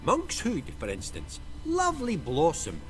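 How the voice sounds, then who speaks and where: An actor playing a chatty, kindly old village herbalist in a video game, with a folksy sing-song delivery. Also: male voice, fairly high pitch, wildly swooping pitch, unhurried, soft background music. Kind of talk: video game character acting.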